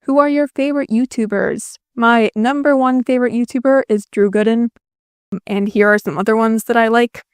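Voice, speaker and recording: A woman's voice: fairly high pitch, fairly even intonation, normal speed, clear, resonant tone, dry recording. Young Finnish-native woman YouTuber, casual Q&A voice-over, self-mocking, dry close mic. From Finland. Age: young adult. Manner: self-deprecating, dry jokes.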